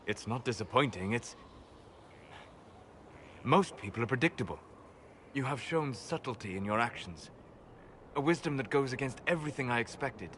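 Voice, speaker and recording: An actor playing a wise, melancholy elven mage in a video game, speaking in a measured, formal way. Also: male voice, mid-range voice, animated, a bit bright, slight background noise, lightly acted. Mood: thoughtful, searching. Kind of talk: dramatic video game acting.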